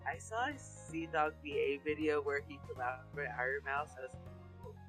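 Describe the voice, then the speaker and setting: Laid-back man on a quiet mic. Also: on the high side, animated, normal speed, soft background music. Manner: laid-back, dry, self-deprecating. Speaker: guest streamer. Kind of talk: casual chat over a video call. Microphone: USB mic with a headset, quiet.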